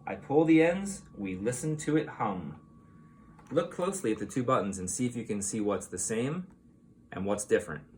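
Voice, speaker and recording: A man reading a picture book aloud to young children like a teacher, in an expressive, explanatory way. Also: on the low side, moderate pace, a little hiss or hum.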